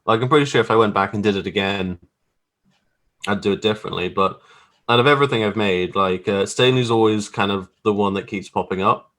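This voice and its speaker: Male interview guest, lowish voice, casual and loose, feeling his way through explanations of his creative work. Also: brisk, a bit bright. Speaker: guest graphic artist. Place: remote video call.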